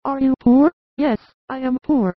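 A woman's voice: sits high, a little slow, dry recording, phone-quality sound. A woman playing a young boy in a childlike manner in a dramatized religious audiobook. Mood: shy. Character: young boys, polite or haughty, in a Bible story.

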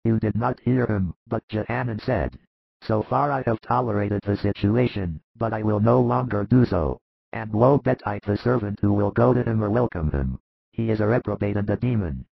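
A man doing a grim, gravelly storyteller. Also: fairly low pitch, normal speed, dry recording, muffled recording, heavily acted. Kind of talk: audiobook reading.

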